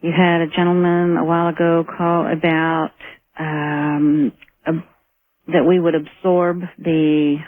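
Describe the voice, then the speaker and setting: A woman's voice: low-pitched, level tone, slow, no echo. A woman phoning a radio show, speaking politely and a little hesitantly as she puts questions to the host. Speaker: phone-in caller. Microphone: telephone. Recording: narrow tinny phone line.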